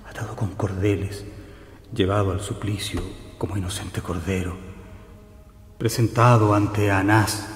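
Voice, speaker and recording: An actor playing an anxious, devout, absent-minded shopkeeper in a Chilean radio drama. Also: male voice, on the low side, reverberant, long reverb tail.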